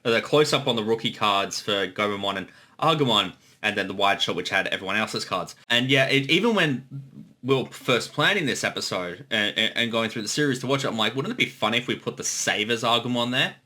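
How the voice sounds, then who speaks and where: A man's voice: fairly low pitch, animated, fairly quick, pushed and bright. A man giving casual creator commentary, talking conversationally about his own series.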